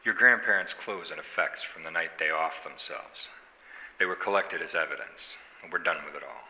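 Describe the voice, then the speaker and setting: Deep, hard-edged homicide detective. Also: male voice, bright, forceful tone, big-room sound, a little hiss or hum, rough recording. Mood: cold, needling. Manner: folksy, deliberate, sarcastic. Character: gruff small-town homicide detective, sardonic, needling, quietly menacing. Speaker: police detective. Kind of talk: audio drama interrogation acting.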